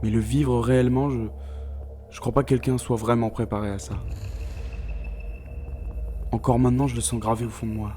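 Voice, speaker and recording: A man playing a haunted space-mission scientist in an audio drama, narrating gravely and deliberately with weighted pauses. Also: mid-range voice, level tone, warm tone, soft background music, natural voice. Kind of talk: scripted dramatic first-person narration.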